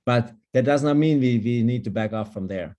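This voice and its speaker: A man's voice: mid-range voice, moderate pace, warm tone. A man with a German accent, speaking as a guest longevity coach in an online panel discussion, and he talks in an enthusiastic, emphatic way. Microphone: video call.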